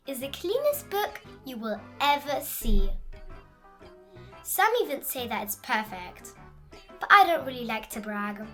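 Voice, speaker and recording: A woman's voice: high-pitched, sharp tone, heavily acted. A woman voicing a fussy, dramatic, easily panicked male frog in a children's storybook, with theatrical, scolding delivery. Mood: proud, prim. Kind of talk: animated children's storybook read-aloud.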